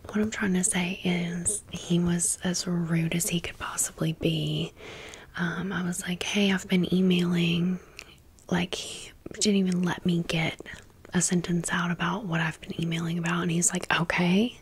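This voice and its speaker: A woman doing a chatty, rambling ASMR storytime in a breathy whisper with some creak and a wry manner. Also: lowish voice, level tone, normal speed. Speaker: ASMR host.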